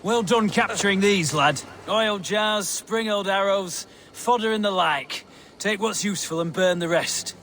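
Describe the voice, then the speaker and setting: Hearty rebel war leader, male, sharp forceful voice, highish, plain-spoken, determined, game cutscene. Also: a little slow, a little hiss or hum. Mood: brisk, approving. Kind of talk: video game cutscene dialogue.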